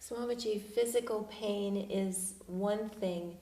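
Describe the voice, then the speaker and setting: A woman asking a question in a soft, slow way. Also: mid-range voice, fairly even intonation. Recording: roomy, distant from the mic.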